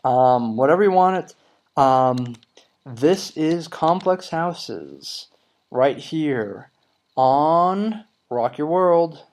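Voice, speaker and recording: A young man in his twenties hosting a casual solo podcast, rambling with lots of ums and low energy while getting over a cold. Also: lively intonation, extremely slow, a little mellow, a little hiss or hum. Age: 20s. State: recovering from a cold. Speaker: podcast host.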